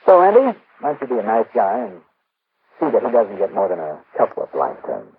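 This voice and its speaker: A man's voice: on the low side, huge pitch swings, brisk. An American actor playing a wry, hard-boiled male insurance investigator in a classic radio drama, talking colloquially. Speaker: lead actor of a detective series. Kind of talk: classic radio detective acting. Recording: narrow-band, noisy old radio recording.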